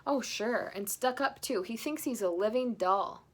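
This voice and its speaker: A woman's voice: fairly high pitch, a little slow, voice pushed up. A woman voicing a confident, forgetful young girl bear in a storybook. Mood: scornful.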